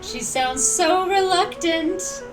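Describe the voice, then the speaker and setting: A woman streamer reacts casually to a game in a high, cooing voice. She speaks slowly and sounds a little away from the mic, with music playing behind her. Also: distant mic.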